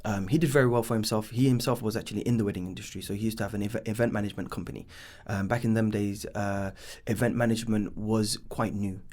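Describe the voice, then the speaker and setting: Low-voiced London man telling his story. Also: steady tone, fast, few pauses, darkish tone. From born in Bangladesh, raised in East London. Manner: rambling, leans on "should I say" and "um". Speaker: business founder guest. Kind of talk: casual podcast storytelling.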